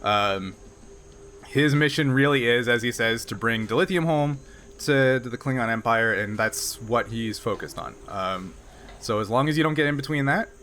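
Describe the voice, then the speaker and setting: A man working as game master, narrating a tabletop game off the cuff in a halting, conversational way. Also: medium pitch, sharp tone, slight background noise.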